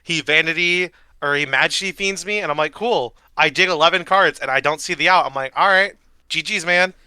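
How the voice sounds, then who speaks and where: A man co-hosting a casual podcast, telling stories in an easygoing, relaxed way. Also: on the high side, a little fast, clean tone, dry recording. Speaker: podcast co-host.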